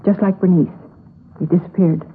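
A woman's voice: on the low side, fairly even intonation, moderate pace, close to an everyday voice. An American woman playing the earnest, anxious and insistent sister of a missing wife in a 1950s radio crime drama. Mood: worried. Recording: tinny, noisy old radio recording.

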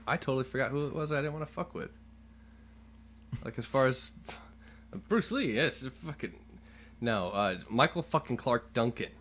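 A man co-hosting a casual podcast, talking louder than his partner and joking with plenty of swearing. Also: medium pitch, lively intonation, moderate pace, pauses often, slight background noise. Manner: louder, leans into the mic, joking and profane. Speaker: podcast co-host. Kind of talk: casual chat.

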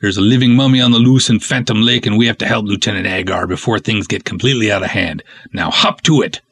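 A man's voice: fairly low pitch, steady tone, quick pace, vocal fry, a bit bright, slightly rough, dry recording, full character voice. A man voicing a stuffy, skeptical sergeant who speaks in a formal, pompous way in a comic serial. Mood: indignant. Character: stuffy, skeptical male sergeant, old friend of the hero.

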